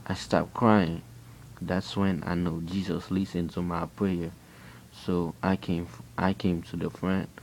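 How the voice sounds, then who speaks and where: A man with a Haitian accent speaks low and slow, his voice gravelly with fry. He tells his faith story earnestly and haltingly. A faint hiss sits under the recording. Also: flat delivery, darkish tone, rough recording. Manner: earnest, halting, with frequent restarts. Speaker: person giving a religious testimony. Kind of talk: personal faith testimony.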